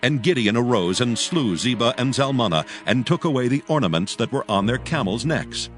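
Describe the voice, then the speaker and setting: A man's voice: medium pitch, expressive, a little fast. A man narrating a dramatized scripture story in a solemn, archaic storytelling style. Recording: music behind the voice. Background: clear background music.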